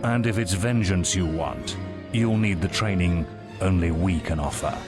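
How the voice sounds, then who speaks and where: A man playing the measured, terse and authoritative head of a heroes' guild in a video game. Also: deep voice. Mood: grave, assured. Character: grave, commanding male head of a heroes' guild, rescuing a boy. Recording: music behind the voice.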